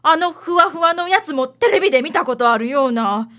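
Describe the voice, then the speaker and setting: A woman's voice: high voice, expressive, a little fast, a bit bright. A woman playing an eager, curious client with a Kansai accent in a radio drama. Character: eager, curious female client tagging along. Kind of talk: community radio drama acting.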